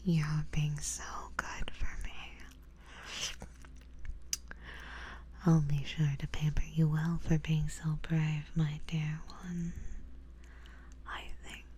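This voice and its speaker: A woman playing a doting, possessive, genteel vampire in a whispered ASMR roleplay, speaking softly and coaxingly in a breathy whisper. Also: low voice, flat delivery, slow, pauses often. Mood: indulgent, hungry. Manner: soft, coaxing, genteel, indulgent.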